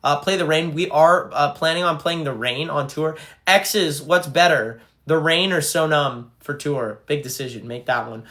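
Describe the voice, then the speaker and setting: A young man talks casually on a phone livestream, reading out fan comments and chatting between them. His voice sits a bit high for a man, with a bright, forceful edge. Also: normal speed, few pauses. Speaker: band frontman livestreaming to fans.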